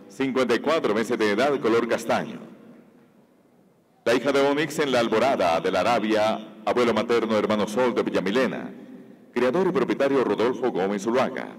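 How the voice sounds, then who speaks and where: A man announcing entries at a horse show, listing each entry in a steady, formal cadence over the PA. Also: on the low side. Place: large indoor horse show arena. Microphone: PA system. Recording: long hall reverb over arena speakers.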